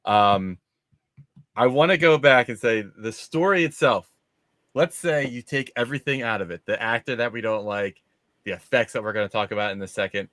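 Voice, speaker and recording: A man's voice: mid-range voice, normal speed, a bit bright, dry recording. Casual male host with a dry, close sound. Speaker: host of a movie discussion show. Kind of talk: casual panel discussion.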